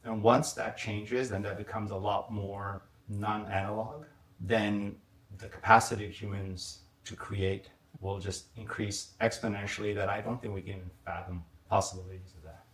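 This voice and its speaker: A man's voice: fairly low pitch, level tone, moderate pace, fairly bright tone, reverberant, poor audio. A man, a startup founder, talking through ideas in a casual podcast conversation, restating points as lists. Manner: thoughtful, explains in lists and restatements.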